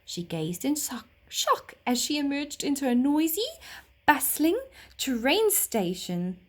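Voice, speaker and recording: A woman narrating a children's story expressively, like a teacher reading aloud, with dramatic, deliberate phrasing. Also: high voice, big pitch swings.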